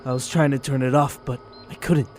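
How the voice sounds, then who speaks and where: A man playing an injured, shaken scientist in an audio drama, recounting in a strained, hurried way, with faint background noise. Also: mid-range voice, fairly even intonation, a little mellow, close to an everyday voice. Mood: shaken, weak. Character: injured, shaken male scientist in lab coat.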